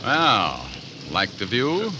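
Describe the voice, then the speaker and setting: An actor playing a wisecracking, hard-boiled private detective with a wry, dry manner in a vintage radio drama. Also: male voice, mid-range voice, wildly swooping pitch, very slow, lo-fi sound, heavily acted. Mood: narrating coolly. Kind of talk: 1940s radio detective drama acting with first-person narration. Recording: noisy vintage 1940s radio recording.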